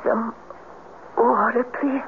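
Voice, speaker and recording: A woman's voice: on the high side, fairly even intonation, takes it slowly, phone-quality sound. An actress playing a frail, fearful young woman, faltering and tender, in a 1970s radio drama. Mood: weak, weary. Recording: thin, noisy 1970s radio broadcast.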